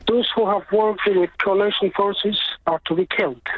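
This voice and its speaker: High-pitched man, phone line. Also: steady tone, normal speed, no echo. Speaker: interpreter.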